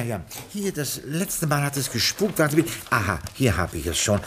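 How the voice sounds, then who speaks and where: An actor playing a courteous, long-winded British butler in a radio drama, speaking formally and digressively with a husky voice. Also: male voice, medium pitch, slight background noise, close to an everyday voice. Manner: formal, digressive, muttering to himself. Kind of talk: radio comedy crime drama, acting and narrating.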